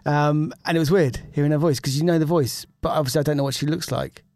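A podcast host chatting casually in a mid-pitched voice, stumbling a little over the first words of questions and giggling between lines. The sound is dry and close-miked. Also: fairly even intonation, quick pace, darkish tone, no room sound. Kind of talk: casual chat. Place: podcast room.

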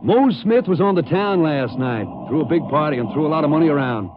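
A man's voice: a little high, expressive, a little fast, natural voice. Folksy police detective, played by a man, tinny radio sound. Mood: earnest, businesslike. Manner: conversational, folksy, businesslike. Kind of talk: 1950s radio drama acting. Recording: tinny, faintly noisy vintage radio.

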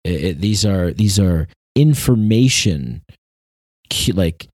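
A man with a fairly low voice speaks his opinions off the cuff in a dry, echo-free recording. He is earnest and emphatic, stopping and restarting as he hunts for the right word, often repeating a phrase before moving on. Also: big pitch swings, darkish tone. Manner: halting, repetitive, searching for words. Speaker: commentator. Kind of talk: unscripted opinion monologue.

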